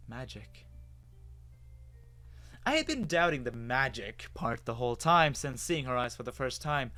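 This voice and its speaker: A man giving an expressive, dramatic first-person reading of a story as its narrator. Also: medium pitch. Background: music.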